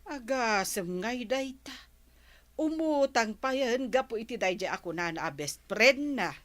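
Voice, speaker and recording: A woman's voice: a little high, expressive, a little slow, a bit bright. A woman with an Ilocano accent playing a nosy, gossiping village woman in a comedy radio drama. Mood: gossipy.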